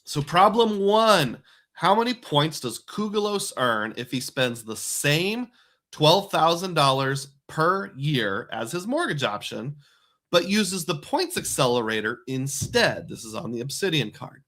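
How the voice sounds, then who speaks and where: Man, stream host, somewhat high voice, step-by-step math explainer, thinks out loud. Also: big pitch swings, a little slow. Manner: explains step by step, working problems aloud. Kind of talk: livestream walking through points math.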